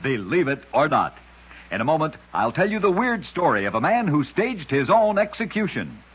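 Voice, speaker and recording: A man hosting an old-time radio program, narrating scripted strange tales in a dramatic, deliberate storyteller's delivery. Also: fairly high pitch, very animated, fairly bright tone, husky. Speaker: radio host and narrator of oddities. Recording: dull lo-fi vintage radio recording.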